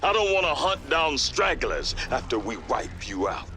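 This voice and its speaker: An actor playing a defiant older military commander in a sci-fi game, with a forceful barking delivery. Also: male voice, medium pitch, sing-song, moderate pace, background noise. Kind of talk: scripted sci-fi game voice acting.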